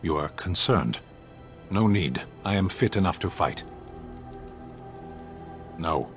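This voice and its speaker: An actor playing a blunt, dutiful warrior who speaks in a flat, terse, literal way, with game music behind him. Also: male voice, deep voice, slow, heavily acted. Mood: stern. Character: stern giant male warrior, blunt and dutiful.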